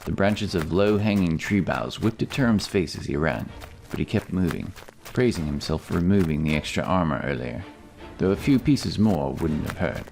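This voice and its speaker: A man's voice: sits low, expressive, normal speed, creaky, a little mellow, rough recording. A man narrating a scripted fantasy story as a storyteller. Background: music.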